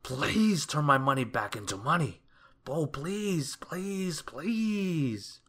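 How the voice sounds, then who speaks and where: A man voicing a rude, miserly storybook character who is blunt, exclamatory and quick to panic or plead. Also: low voice, gravelly, bright, forceful tone, exaggerated voice. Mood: wailing, pleading.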